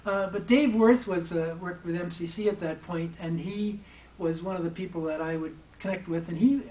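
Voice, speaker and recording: A man's voice: sits high, moderate pace, a little hiss or hum. An older man, a former probation officer, recounting a personal story in a hesitant way with frequent restarts. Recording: distant, echoey, phone-like sound.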